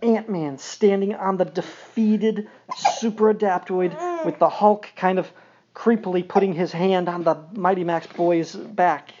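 A man with a soft, mellow voice reads a storybook to a small child in a casual, wry way. He sounds conversational, moving between the text and his own asides. The recording is slightly muffled, with faint background noise. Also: sits high, unhurried. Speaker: podcast host reading a picture book aloud to a young child.

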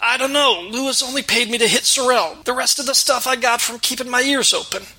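A man's voice: very high-pitched, fairly quick, bright, forceful tone, husky, voice pushed up, exaggerated voice. A man voicing a beefy, surly thug working as a waiter, talking in a coarse, whiny street-tough way.

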